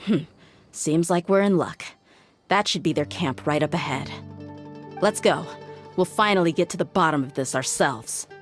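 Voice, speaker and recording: A woman's voice: deep voice, normal speed, soft background music, lightly acted. A woman playing a blunt, tough mercenary woman. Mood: commanding. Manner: commanding, blunt. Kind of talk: game voice acting.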